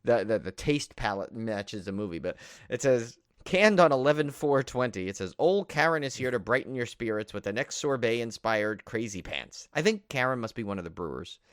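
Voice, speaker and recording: A man's voice: mid-range voice, sing-song, normal speed, clean tone, no room sound. Casual man co-hosting a podcast, close dry sound. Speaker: podcast co-host. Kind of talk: casual chat about horror films.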